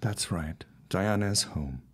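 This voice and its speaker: An actor playing a genial, optimistic husband and small-town booster in a period audio drama, speaking in a declamatory way. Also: male voice, sits low, slow pace, fairly bright tone, husky, exaggerated voice. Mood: gently restrained.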